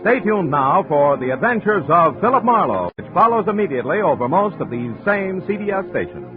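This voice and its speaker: A man delivers a brisk promotional network announcement in a high, very lively voice. The old broadcast sounds tinny and phone-like, with music clearly playing behind.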